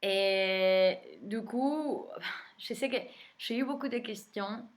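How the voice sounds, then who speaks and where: A woman's voice: medium pitch, slow pace. A young adult woman from Argentina, a tarot reader whose native tongue is Spanish, talking casually in French with an Argentine Spanish accent and many hesitant fillers. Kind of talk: casual spoken video introduction.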